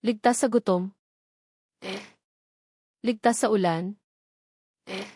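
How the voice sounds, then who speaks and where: A woman's voice: mid-range voice, steady tone, slow, a little mellow, husky, dry recording. Woman narrating a drama in a dry, close sound. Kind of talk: scripted storytelling narration.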